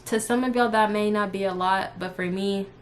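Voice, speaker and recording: A woman's voice: medium pitch, steady tone, normal speed. A woman in her early twenties chatting casually and unscripted to her followers, candid and slangy, rambling as she goes. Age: early 20s. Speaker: YouTuber and live streamer.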